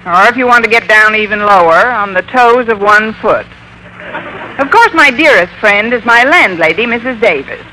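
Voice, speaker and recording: Wisecracking lady teacher in vintage radio comedy: very bright, expressive, crisp and wry, slightly noisy recording. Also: fairly high pitch, moderate pace. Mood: wry narrating. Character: wry, sardonic adult female high school English teacher. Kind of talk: 1940s-50s radio comedy acting and narration.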